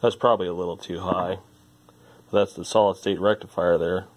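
A man with a fairly low voice talks through hands-on repair work at an indoor workbench. He sounds like a hobbyist, informal and musing aloud as he goes. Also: steady tone, normal speed, noisy background. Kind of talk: casual hands-on repair commentary.

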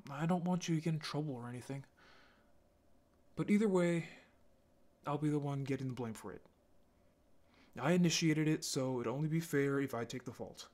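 A man playing a lonely, apologetic young male zombie in an audio roleplay, speaking in a halting, intimate way. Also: fairly high pitch, darkish tone, close to an everyday voice. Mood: worried, earnest. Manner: halting, stammering, sighing.

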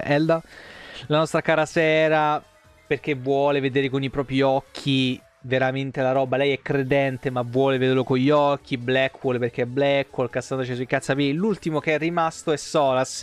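Roman italian guy streaming games, kinda high voice, rambles and swears, close mic, music behind. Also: clear, resonant tone. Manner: profane, rambling, expressive. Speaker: game streamer. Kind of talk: casual chatty livestream commentary. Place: small room at home. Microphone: desk microphone up close.

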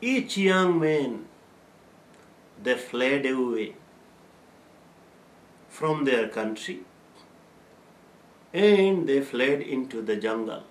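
Slow-speaking older man teaching, echoey room. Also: medium pitch, expressive. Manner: explains verses slowly, chanting Arabic recitation between. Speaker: Islamic teacher. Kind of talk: religious lesson with Quran recitation. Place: plain room with a bare wall.

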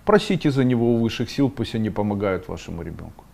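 A middle-aged man hosting an esoteric webinar, reading viewers' questions aloud and answering them bluntly, sometimes scolding with sharp rising outbursts. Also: fairly low pitch, lively intonation, quick pace, vocal fry.

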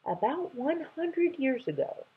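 A woman voicing a kindly, motherly lion who explains and gently scolds, in a children's storybook read-aloud. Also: sits high, very animated, slow, narrow, tinny audio, pitched above the performer's own voice, exaggerated voice. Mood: concerned.

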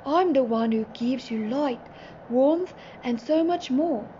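A woman's voice: high voice, takes it slowly, warm tone. A woman voicing a kindly, loving sun that talks in a children's storybook. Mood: loving, reassuring. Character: kindly, loving talking sun, giver of warmth. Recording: dull lo-fi, faint background noise.

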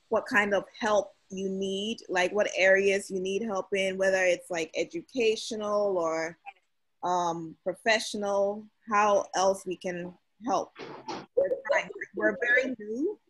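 Young woman from ghana running a video call, kinda husky voice, chatty, lots of ums. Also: mid-range voice, low-quality recording. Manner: relaxed, chatty, many fillers. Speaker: host of an online meeting. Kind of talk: casual meeting talk.

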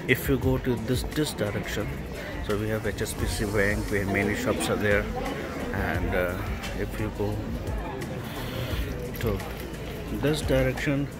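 A man giving casual, halting walking tour commentary as a travel vlogger, in simple phrases. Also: on the low side, loud music behind the voice. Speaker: travel vlogger guiding a town walk. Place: outdoors on town centre streets.

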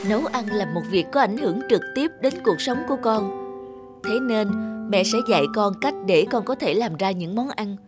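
A woman voicing a loving cartoon mother who gives gentle, earnest advice. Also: mid-range voice, expressive, moderate pace, close to an everyday voice. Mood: tender, earnest. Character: loving, instructive mother of a young girl. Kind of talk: cartoon voice acting. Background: music.

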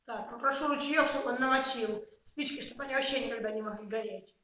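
A woman's voice: on the high side, fast talker, sharp tone, slight background noise. A woman voicing a bossy, exasperated father who grumbles and calls out orders in an amateur puppet play. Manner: calling out commands, grumbling. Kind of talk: amateur puppet theatre acting. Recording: very echoey room.

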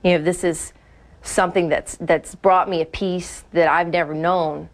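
A woman with a slightly low voice gives personal testimony in a news interview. She speaks conversationally, as if recounting her own experience. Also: fairly even intonation, normal speed.

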